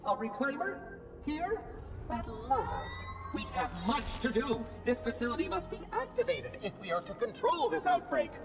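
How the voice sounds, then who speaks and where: An actor playing a prim, fussy robot caretaker in a video game, precise and pedantic, with robotic processing. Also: male voice, very high-pitched, big pitch swings, moderate pace, full character voice. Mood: eager, officious. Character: prim, officious floating robot monitor, fussy know-it-all. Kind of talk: video game cutscene acting. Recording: distant voice with music behind.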